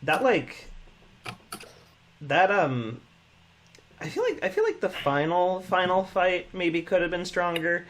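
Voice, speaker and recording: A man giving his thoughts on a video game in a casual chat, speaking haltingly and often starting his phrases over. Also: high voice, big pitch swings, fairly bright tone, a little hiss or hum. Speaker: host of a video game series.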